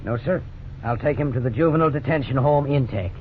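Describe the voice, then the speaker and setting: A man playing a polite, persistent police officer in a 1950s radio drama, measured and firm. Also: mid-range voice, lightly acted. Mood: firm, patient. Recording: tinny, noisy 1950s radio recording.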